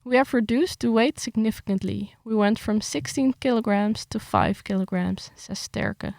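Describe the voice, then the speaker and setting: A woman's voice: on the high side, moderate pace, creaky, no echo. A young woman talks casually about her article, with some hesitation, then reads it aloud steadily. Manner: hesitant with fillers when chatting, steady when reading. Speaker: writer reading her article.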